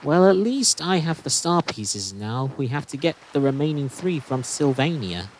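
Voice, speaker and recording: A woman's voice: sits low, sing-song, normal speed, a little mellow, slight background noise, poor audio. A woman voicing a firm, no-nonsense heroine in an audio drama, direct and challenging. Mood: probing. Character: firm, no-nonsense heroic keeper of magic star pieces, Maple.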